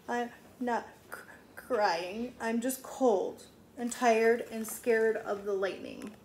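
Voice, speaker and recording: A woman's voice: on the high side, steady tone, slow pace. Plucky small boy monster truck for a storybook, read by a woman, determined yet easily upset, echoing room, audible noise. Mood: tearful, defiant.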